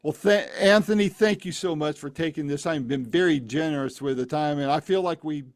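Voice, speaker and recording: A man's voice: a little high, fairly quick, no room sound, clean studio sound. A man from the Phoenix area hosting an interview, friendly and chatty, often saying "man". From Phoenix, Arizona area. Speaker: interview host. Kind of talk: casual interview conversation.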